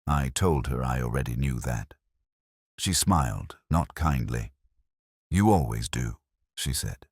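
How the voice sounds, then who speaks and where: A man reading a scripted first-person detective story in a measured, reflective, literary manner. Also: extremely low pitch, husky, no echo, studio quality. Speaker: narrator.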